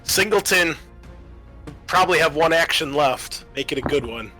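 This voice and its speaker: A man acting as game master, narrating and refereeing a tabletop roleplaying game in an informal, halting way. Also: on the high side, expressive, pushed and bright, faint music behind.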